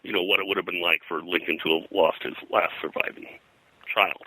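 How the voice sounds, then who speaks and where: A man's voice: deep voice, flat delivery, a little fast, bright, forceful tone, breathy edge, no echo, low-quality recording. An older man telling rambling anecdotes as an interview guest, drifting into digressions and lists of names. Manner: digressive, name-dropping storyteller. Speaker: pop culture historian and guest.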